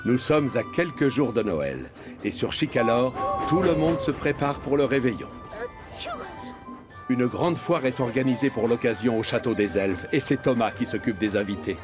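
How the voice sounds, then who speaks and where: Un homme narrant un dessin animé pour enfants, avec un ton de conte animé. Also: male voice, medium pitch, animated, normal speed. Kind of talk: scripted storybook narration. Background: music.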